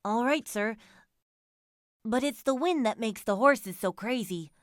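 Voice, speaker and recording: Woman voicing a high, hasty tipsy coachman. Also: measured pace, no room sound, studio quality, lightly acted. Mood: defensive. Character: tipsy male carriage driver, servile and hasty.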